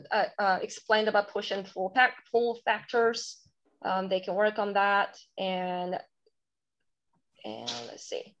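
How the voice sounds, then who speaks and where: A woman from South Korea with a Korean accent, presenting a curriculum to teachers on a webinar, halting with many fillers and restarts. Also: medium pitch, vocal fry. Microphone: video call. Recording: dull lo-fi webinar audio.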